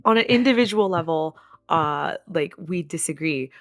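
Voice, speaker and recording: A woman's voice: low voice, animated, moderate pace, dry recording, studio quality. A woman from Canada chatting casually on a podcast, laughing often and swearing bluntly. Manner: giggly, blunt, swears freely. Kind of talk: casual chat.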